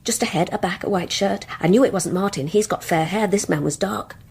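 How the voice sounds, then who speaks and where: A woman's voice: mid-range voice, fairly quick, gravelly, a little mellow, breathy edge. An actress playing a brooding, obsessive married woman in a radio drama, confiding her grievances in intense, insistent, clipped sentences. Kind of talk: radio drama acting with first-person narration.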